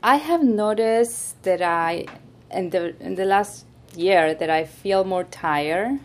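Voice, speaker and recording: A woman's voice: medium pitch, slow. A woman in her forties from Mexico, a registered nurse, plain-spoken with small restarts. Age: 40s.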